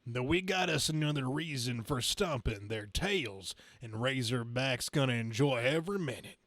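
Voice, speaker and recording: A man's voice: medium pitch, expressive, moderate pace, fairly bright tone, dry recording, close to an everyday voice. A man voicing a folksy, eager male trucker-style comic-book hero who talks in CB-radio slang. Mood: eager, cocky. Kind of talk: dramatic comic-book reading.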